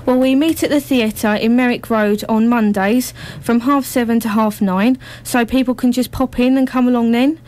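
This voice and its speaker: A woman's voice: a little high, steady tone, moderate pace, hardly any pauses, darkish tone. A woman giving informational announcements as a guest in a radio interview. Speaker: amateur drama group member being interviewed.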